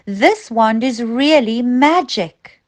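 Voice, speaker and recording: A woman voicing an excitable, polite young male school character in a children's storybook, earnest and exclamatory. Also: fairly high pitch, slow, voice pushed up. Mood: alarmed.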